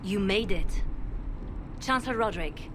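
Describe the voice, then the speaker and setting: An actress playing a composed, dutiful church servant in a fantasy video game, polite but firm. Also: female voice, medium pitch, lively intonation, a little slow, natural voice. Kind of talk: dramatic video game acting. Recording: constant clear background ambience noise.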